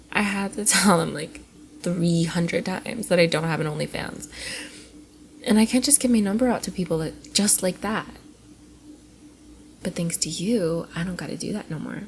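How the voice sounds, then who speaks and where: A woman acting out a playful young female cosplayer in an ASMR roleplay, talking closely to one listener. She is coy, teasing and affectionate, slipping between bubbly chatter and soft murmurs. Her voice has a noticeable crackly fry, over audible background noise. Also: lowish voice, a little slow, pauses often. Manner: giggly, coy, often dropping into soft breathy murmurs.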